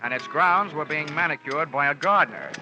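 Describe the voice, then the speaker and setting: An actor playing a cynical, quippy insurance investigator in a 1940s radio detective drama. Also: male voice, a little high, a little fast, close to an everyday voice. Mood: dry narration. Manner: sardonic, quippy. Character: wisecracking, cynical freelance male insurance investigator. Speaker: radio drama lead and narrator. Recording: muffled old radio broadcast, music behind.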